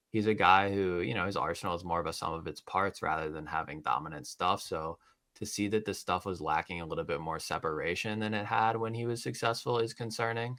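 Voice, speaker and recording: A man co-hosting a podcast, giving measured, hedged analysis in a casual chat. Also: deep voice, a bit bright, no echo, studio quality. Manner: measured, hedging with "you know". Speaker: podcast co-host.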